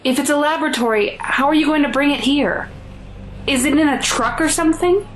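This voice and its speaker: A woman's voice: high-pitched, a little fast, slight background noise, natural voice. A woman playing a worried, pleading mother in an audio drama, anxious and earnest. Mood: concerned. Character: worried, pleading mother of a dangerous teenage son. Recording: music under the voice.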